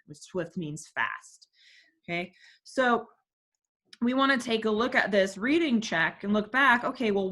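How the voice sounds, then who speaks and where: A woman's voice: mid-range voice, bright, forceful tone. A woman teacher reading a textbook section aloud and stopping to explain terms and put questions to her class.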